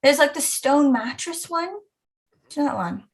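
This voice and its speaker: A young woman whose voice sits a little high. She talks about books in a gushing, chatty way, peppering her sentences with "like". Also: expressive, normal speed. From Wales. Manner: gushing, lots of "like" fillers. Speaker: booktuber co-host. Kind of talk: casual book chat.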